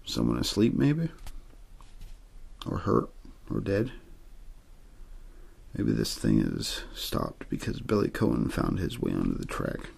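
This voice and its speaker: A man with an extremely deep, heavily creaky voice reads a story in ASMR style. His tone is very husky and rough. He reads as an amateur aiming to lull the listener to sleep, without theatrics. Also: huge pitch swings, takes it slowly, long pauses, darkish tone. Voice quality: very low, gravelly, husky. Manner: untheatrical reading meant to lull to sleep.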